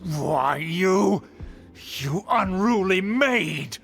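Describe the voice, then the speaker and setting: An actor playing a haughty, scheming middle-aged noble uncle in a video game, speaking with pompous, formal indignation. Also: male voice, sits high, expressive, drawn-out words, vocal fry, fairly bright tone, full character voice. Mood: outraged, sputtering. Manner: pompous, imperious, formal diction. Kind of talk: theatrical video game voice acting. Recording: background music under the dialogue.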